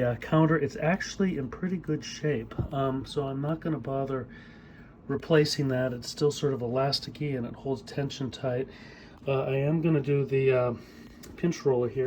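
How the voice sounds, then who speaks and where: A middle-aged man giving a casual hands-on demonstration of equipment he repairs, speaking off the cuff with hesitant pauses as he works. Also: mid-range voice, a little mellow, slight background noise. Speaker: hobbyist audio equipment repairer demonstrating a tape deck.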